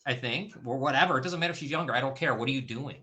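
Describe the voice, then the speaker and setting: Man, live-stream host, bright tone, rambling casual talk. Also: mid-range voice, very fast. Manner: loose, rambling, frequent restarts. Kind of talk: casual talk show discussion. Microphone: streaming call setup.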